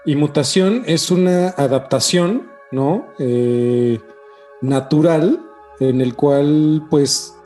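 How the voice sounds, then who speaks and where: Mellow-voiced Mexican man, music behind. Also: a little high, very slow. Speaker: podcast co-host. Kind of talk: casual podcast discussion.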